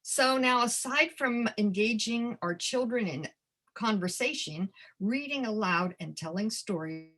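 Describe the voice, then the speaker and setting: Older lady teacher doing a webinar talk, very bright voice, a bit high, talks right to the audience. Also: expressive, measured pace. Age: 60s or older. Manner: thankful, addresses listeners directly, emphatic key phrases. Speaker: educator, webinar guest presenter. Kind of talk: webinar presentation to childcare providers.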